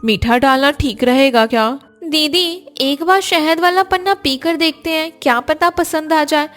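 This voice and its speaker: A very high, expressive female voice put on by a narrator plays an eager young Indian daughter-in-law. She chats inventively in family cartoon style over a music bed. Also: unhurried. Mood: playful. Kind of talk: Hindi family cartoon dialogue.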